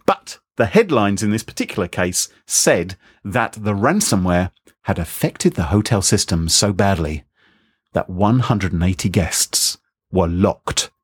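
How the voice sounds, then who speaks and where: A man's voice: deep voice, animated, a little slow, slightly rough, no echo, clean studio sound. A man hosting a casual podcast, joking, rambling and mocking himself. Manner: jokey, rambling, self-mocking. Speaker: podcast host.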